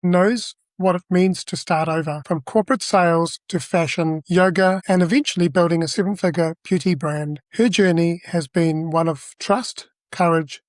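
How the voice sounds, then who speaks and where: A man's voice: fairly high pitch, steady tone, moderate pace, hardly any pauses, no echo. A man hosting a podcast, reading a polished motivational intro and then asking interview questions. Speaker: podcast host.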